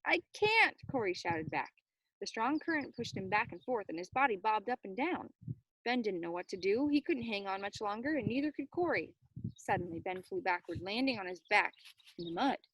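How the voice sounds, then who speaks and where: A woman's voice: mid-range voice, lively intonation, fairly quick, slightly rough, no room sound, low-quality recording. A woman reading a children's novel aloud with dramatic, suspenseful narration. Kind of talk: expressive read-aloud narration.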